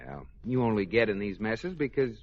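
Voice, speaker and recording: An actor playing an easygoing husband in a 1940s radio comedy, wry and soothing. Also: male voice, on the low side, lively intonation, moderate pace, lightly acted. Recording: noisy, dull old radio recording.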